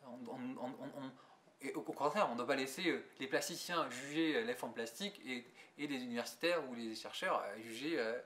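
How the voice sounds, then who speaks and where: A man's voice: medium pitch, brisk, a bit bright, slight background noise. Hesitant man in an echoey room. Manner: hesitant, many restarts and fillers. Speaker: visual artist interviewed. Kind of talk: reflective interview answers. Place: museum gallery.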